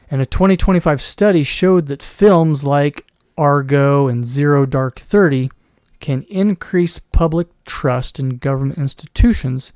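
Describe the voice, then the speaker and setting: A man hosting a solo commentary podcast, losing his voice, rambling with restarts and clearing his throat. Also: on the high side, darkish tone, no echo, clean studio sound. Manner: mocking put-on voices in quoted asides. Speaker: podcast host.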